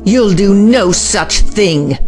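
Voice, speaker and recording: A woman's voice: mid-range voice, expressive, slow. Woman acting a stern, defiant scientist, music behind. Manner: stern, dismissive. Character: defiant, secretive female scientist, stern project head. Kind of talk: audio drama acting.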